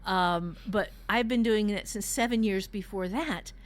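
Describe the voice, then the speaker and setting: A woman's voice: medium pitch, a little slow. An older woman, a writing teacher, talking casually on a podcast in a candid, emphatic, salty way.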